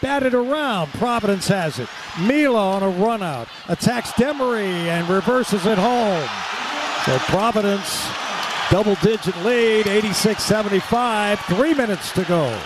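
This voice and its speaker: A man calling live radio basketball play-by-play in clipped, excitable running descriptions. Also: high voice, measured pace. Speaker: play-by-play announcer. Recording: courtside with loud crowd and gym noise. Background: crowd and arena ambience.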